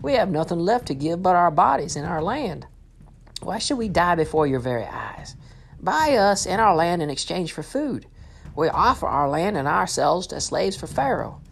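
Starving Egyptian crowd, high and begging, read by a man. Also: lively intonation, normal speed, few pauses, a little mellow. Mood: pleading. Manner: pleading, high and drawn out.

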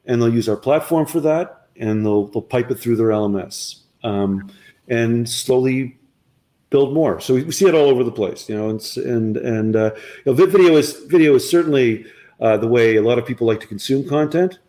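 A man's voice: mid-range voice, normal speed, dark tone. An eager man explaining his company's offerings in an interview. He often doubles his words and restarts sentences, pushing ahead with enthusiasm. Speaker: company representative. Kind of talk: conversational business interview.

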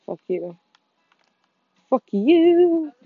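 A teenage girl chatting over a video game, quick and profane, breaking into sudden excited yelps. The sound is dull and muffled, with dry close pickup and faint music underneath. She keeps running herself down between jokes. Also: sits high, dry recording. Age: teens. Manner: fast, swearing, self-deprecating, excitable outbursts. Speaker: podcast co-host. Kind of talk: casual gaming chat with friends.

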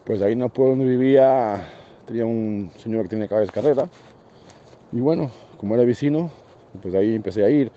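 A man from Portugal with a Portuguese accent, talking casually as an interview guest and repeating phrases for emphasis. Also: fast. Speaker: horse racing guest. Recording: muffled low-fidelity link with faint noise.

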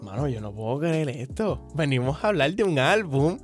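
A man's voice: on the high side, sing-song, normal speed. A man from Puerto Rico with a Puerto Rican accent, co-hosting a casual music chat and often stumbling and restarting his sentences. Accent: Puerto Rican Spanish. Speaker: podcast co-host.

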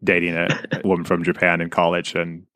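A man's voice: low-pitched, completely flat delivery, fast, fairly bright tone, no echo, clean studio sound. A man hosting a podcast interview, engaged with his guest and adding his own stories. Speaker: podcast host. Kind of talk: conversational interview.

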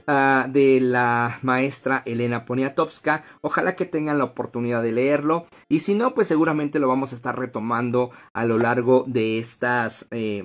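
A man hosting a live-streamed history talk, lecturing conversationally with rhetorical questions and frequent fillers. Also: medium pitch.

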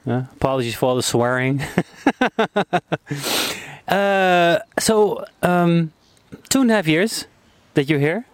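A man interviewing a guest casually outdoors in a park, speaking in a relaxed, conversational way with fillers and restarts. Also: on the high side, slow pace, smooth, dry recording. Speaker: street interviewer.

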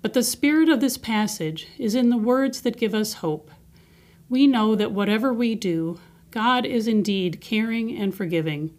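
A woman reading a scripted scripture passage and personal reflection in a measured, earnest way. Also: on the high side, animated, darkish tone. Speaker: devotional reader.

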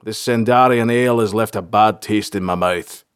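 A man's voice: fairly low pitch, normal speed, clear, resonant tone, dry recording, studio quality, lightly acted. A man voicing a blunt, sardonic sergeant of a band of fantasy soldiers, talking in colloquial speech. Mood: resigned. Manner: blunt, colloquial, dryly sarcastic.

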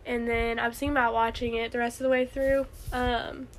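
A woman's voice: on the high side, little pitch movement, a little fast, a little hiss or hum. A young woman co-hosting a podcast in casual, unscripted chat, speaking informally with plenty of "like". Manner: informal, frequent "like" hedging. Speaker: podcast co-host.

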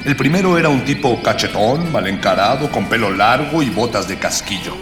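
An actor playing a grandiloquent spirit companion who narrates a radio serial in old-fashioned literary language. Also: male voice, medium pitch, lively intonation, a little fast, natural voice. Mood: descriptive, wary. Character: grandiloquent male unseen spirit companion of the hero. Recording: echoey long reverb over music.

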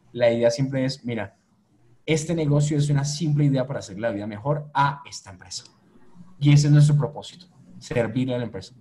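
A man guest in a business podcast interview, answering reflectively and pausing to search for words. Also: mid-range voice, warm tone. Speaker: agency owner, guest.

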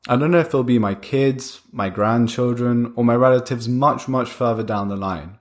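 A young man hosting his own podcast, talking through ideas in a relaxed, conversational way while he has a cold. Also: medium pitch, normal speed. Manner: conversational, thinking aloud with fillers and restarts. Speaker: podcast host. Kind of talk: casual solo podcast monologue.

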